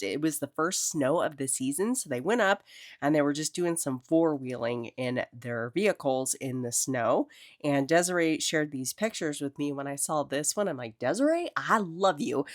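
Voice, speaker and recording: A woman's voice: low voice, no room sound, clean studio sound. A middle-aged woman hosting a casual craft tutorial, chatty and enthusiastic, with a cold. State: has a cold. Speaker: crafting video host.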